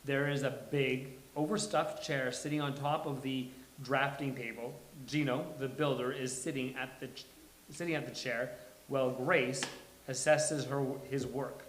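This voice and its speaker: A man's voice: mid-range voice, fairly even intonation, normal speed, a bit bright, a little hiss or hum. A man reading stage directions as the host of a live table read. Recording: roomy live recording.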